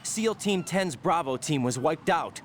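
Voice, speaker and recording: An actor playing a young rookie special forces soldier who is easily rattled, speaking anxiously and asking questions in a video game cutscene. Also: male voice, a little high, lively intonation, a little slow, heavy background noise. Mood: alarmed.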